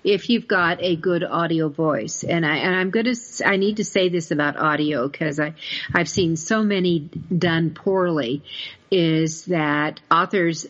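A woman's voice: low-pitched, a little slow, a bit bright. A mature woman hosting a publishing podcast, chatting in an emphatic, colloquial way. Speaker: podcast host. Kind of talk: conversational interview podcast about book publishing. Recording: dull lo-fi podcast audio.

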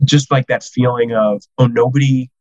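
A man's voice: medium pitch, fairly even intonation, moderate pace, no room sound, muffled recording. A man in his thirties from the United States, a podcast guest telling self-deprecating stories in casual chat, full of "like" fillers.

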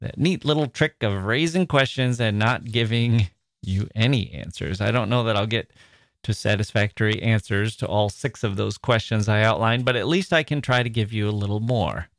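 A man hosts a literature podcast in a lowish voice, chatting through his notes with wry, self-mocking asides to the listeners. The sound is dry and close, with no room around it. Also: normal speed, no echo. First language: English. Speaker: podcast host. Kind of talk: scripted podcast narration.

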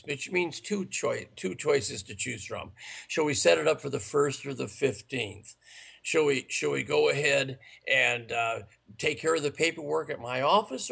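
A man with a raspy, worn voice from long speaking, earnestly giving sales and management advice in an interview. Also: mid-range voice, a bit bright. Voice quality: gravelly. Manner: earnest, instructive, listing points. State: raspy, tired voice. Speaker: sales trainer guest.

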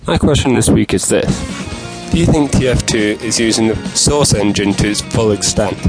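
Male listener's message under loud guitar music. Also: lowish voice, moderate pace. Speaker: listener sending in a question. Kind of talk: informal recorded listener message.

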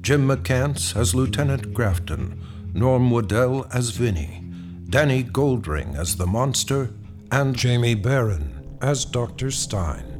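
A man announcing a radio drama program in a measured, formal delivery. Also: medium pitch, expressive. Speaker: host and announcer. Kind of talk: scripted announcing. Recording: music behind.

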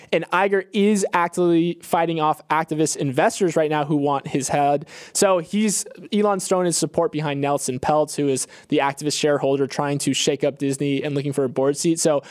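Clear, higher-voiced male podcast co-host. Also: no room sound, studio quality. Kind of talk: conversational business news commentary.

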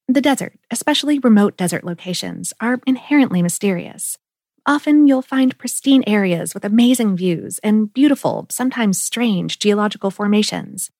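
A woman hosting a podcast, reading scripted narration in a measured, explanatory way with clear phrasing. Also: medium pitch, darkish tone, dry recording, clean studio sound. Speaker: podcast host.

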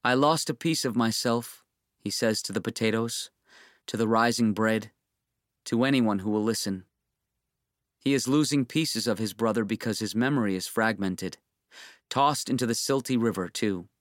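A man's voice: on the low side, normal speed, no echo. Restrained male audiobook narrator, dry studio sound. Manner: restrained, solemn.

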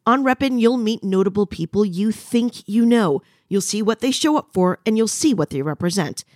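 Female podcast host, mid pitch, scripted promo read, dry studio sound. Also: expressive, moderate pace, a little mellow, no room sound.

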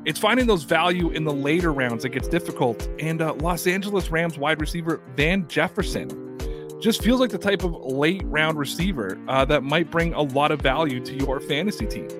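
A man hosting a sports podcast in casual chat, with energetic, rapid promo reading. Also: fairly high pitch, over music. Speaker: podcast host.

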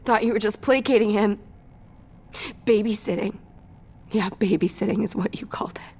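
A woman's voice: medium pitch, moderate pace, a little mellow, slightly rough. An actress playing a defiant, guilt-ridden captive young woman in an audio drama. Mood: cold defiance.

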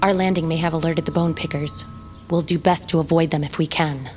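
A woman's voice: low voice, steady tone, fairly quick, darkish tone, soft background music, close to an everyday voice. A woman playing a loyal, wary bird-folk rescuer in a fantasy audio drama, earnest and guarded. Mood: grave, protective.

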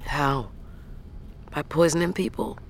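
An actress playing a battered, sick woman truck driver, talking in a weary, halting, blunt way in a naturalistic audio drama. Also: deep voice, creaky, a little hiss or hum, heavily acted. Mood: weary, defiant. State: sick, worn out.

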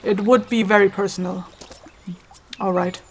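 A woman playing a stern, exacting female spirit ritualist in a fantasy audio drama, with a measured, instructive, commanding manner. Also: medium pitch, steady tone, slight background noise, lightly acted. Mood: measured, solemn.

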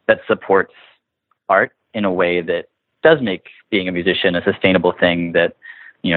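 A man in casual interview conversation, talking informally and often acting out imagined quotes to make his point. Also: low voice. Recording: narrow phone-like line with room echo.

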